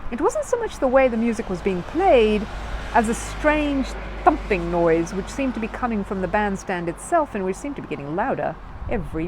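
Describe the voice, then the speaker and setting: Woman, children's book narrator, expressive, lively varied pitch, faint ambient noise behind. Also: rough recording. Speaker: storyteller.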